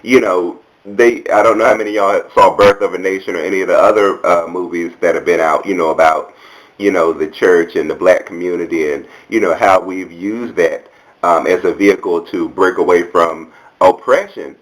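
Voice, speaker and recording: A man with a fairly low voice and an American accent talks casually to his viewers. He is candid and sassy, with a colloquial, off-the-cuff delivery as he comments like a video blogger. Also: normal speed, rough recording.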